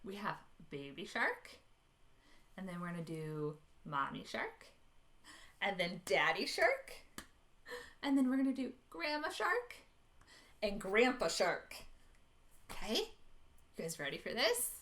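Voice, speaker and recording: A woman hosting an animated storytime for preschoolers, expressive and often putting questions to her young listeners. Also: medium pitch, slow, long pauses. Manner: expressive, addresses young children with questions and gasps. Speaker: children's librarian hosting storytime.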